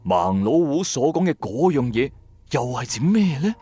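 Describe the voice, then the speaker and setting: A man narrating a radio drama in the first person, colloquial, wry and expressive. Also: medium pitch, moderate pace, husky, soft background music. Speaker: storyteller, narrator.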